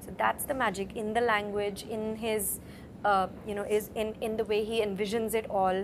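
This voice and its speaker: A woman, an actress in an interview, answering in a reflective, measured way. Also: mid-range voice, flat delivery, background noise.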